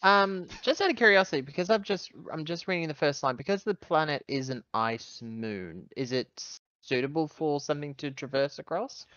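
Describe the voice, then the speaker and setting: Animated male player, slightly dull mic. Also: fairly high pitch, moderate pace, few pauses, no room sound. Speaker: tabletop game player. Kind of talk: casual game chat.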